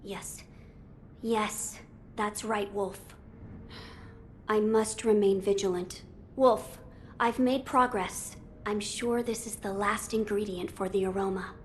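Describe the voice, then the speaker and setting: A woman performs a noble young boy lord from a video game, gentle and solemn. The delivery is slow, formal and earnest, each phrase carefully measured. A faint rumbling noise sits in the background. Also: steady tone, natural voice. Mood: thoughtful. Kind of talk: video game cutscene acting.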